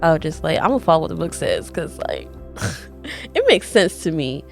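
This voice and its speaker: A young woman telling her own story as a podcast guest, chatty and lively, quoting herself often. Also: low voice, gravelly, faint music behind. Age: young adult. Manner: chatty, quick bursts, frequent "like" and quoted self-talk. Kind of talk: casual personal storytelling.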